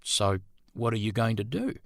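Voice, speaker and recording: A man's voice: fairly low pitch, sing-song, normal speed, creaky, dry recording, studio quality. A man voicing an easygoing young man who talks in a casual, wry way.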